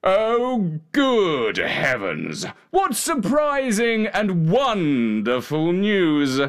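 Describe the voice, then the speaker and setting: Man as grand, slow-drawling storybook king. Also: high-pitched, huge pitch swings, pitched above the performer's own voice, heavily acted. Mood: overjoyed. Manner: grand, drawn-out exclamations. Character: pompous, emotional old-fashioned male king.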